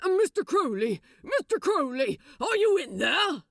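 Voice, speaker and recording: Storybook upper-class gentleman, woman performing: pompous, intrusive, loud and high, wildly animated calling, dry sound. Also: unhurried, no room sound, full character voice. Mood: demanding.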